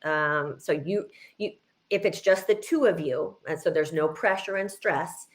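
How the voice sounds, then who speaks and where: A woman's voice: deep voice, measured pace. A middle-aged woman speaking informally as a guest teacher, explaining things in an encouraging way with emphatic repetition. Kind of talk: informal educational talk, answering questions.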